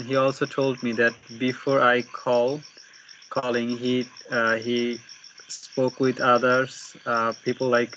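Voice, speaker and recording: A man learning English, chatting haltingly as he searches for words. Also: medium pitch, a bit bright. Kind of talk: casual practice conversation. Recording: dull, noisy with insect chirps.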